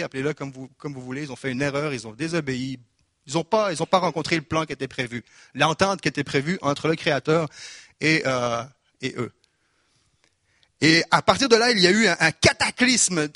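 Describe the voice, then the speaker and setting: A man from Quebec with a Québécois French accent, preaching a lively sermon in a church, full of rhetorical questions and restarts. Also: high voice, no room sound, studio quality.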